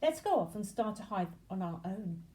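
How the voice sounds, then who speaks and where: A woman's voice: medium pitch, big pitch swings, normal speed, darkish tone, natural voice. Woman as an earnest, emphatic little boy bee. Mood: eager, bossy. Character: earnest, anxious young male worker bee, bossy when crossed.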